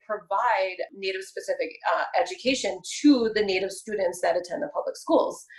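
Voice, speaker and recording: A woman in her thirties speaking earnestly and measuredly in a casual discussion, pausing often with ums. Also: mid-range voice, echoey room, low-quality recording.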